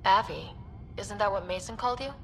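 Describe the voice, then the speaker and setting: A woman playing a steady, caring young team leader in a video game, speaking earnestly and firmly. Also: mid-range voice, a little fast, faint music behind, natural voice. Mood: concerned, questioning.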